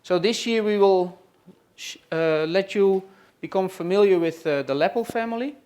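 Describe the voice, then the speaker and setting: A man's voice: sits high, moderate pace, a little mellow. A man from the Netherlands with a Dutch accent, introducing a family to a live audience and hesitating often with fillers. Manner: hesitant, many "uh" and "let's say" fillers. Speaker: presenter hosting a farm tour session. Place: room at a trade expo.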